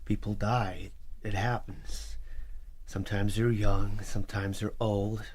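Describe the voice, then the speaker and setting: A man's voice: lowish voice, level tone, slow, a little mellow, a little hiss or hum. A man acting in an audio drama as a resigned, protective middle-aged father, weary and reflective. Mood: reflective, grieving.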